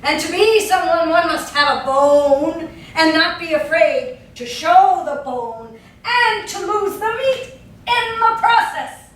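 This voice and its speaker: A woman acting a declaimer of stark maxims in an avant-garde stage play, with measured theatrical delivery. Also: animated, a bit bright, full character voice. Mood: declamatory. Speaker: stage actor. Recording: very echoey stage, distant mic, faint rumble.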